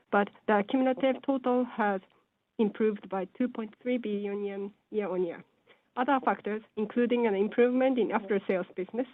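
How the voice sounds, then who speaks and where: Over a thin, phone-like line with a dry sound, a woman delivers a formal scripted presentation. She has a mid-pitched voice and reads in a measured, businesslike manner, like a company presenter on a conference call. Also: no echo. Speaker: presenter on an earnings call, speaking as or interpreting for a company executive.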